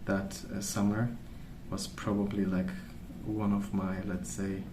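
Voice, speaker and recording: A young man rambling in a gentle, soft-spoken ASMR style, musing aloud without hurry. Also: deep voice, monotone, measured pace. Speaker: ASMR video creator. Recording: echoey room, clear background noise.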